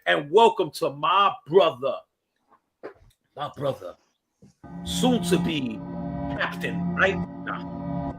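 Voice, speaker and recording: A man hosting a casual livestream, raspy and coughing, hyping up chat with sing-song shout-outs. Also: fairly high pitch, big pitch swings, takes it slowly, long pauses. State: coughing fits. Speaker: host. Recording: loud hip hop music behind. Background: hip hop music.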